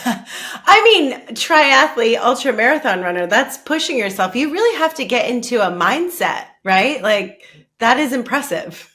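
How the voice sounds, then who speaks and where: A cheerful woman hosts a relaxed podcast chat, eager and quick to laugh. Her voice is bright and sits a bit high. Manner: bubbly, enthusiastic, laughs easily. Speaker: podcast host. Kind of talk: casual interview chat.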